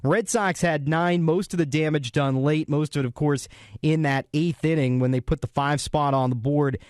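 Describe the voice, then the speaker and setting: A man hosts a sports radio post-game show. His voice sits a bit high for a man. The studio sound is dry and close, and he delivers a running recap with commentary. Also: steady tone, normal speed, darkish tone, dry recording. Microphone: close broadcast studio mic.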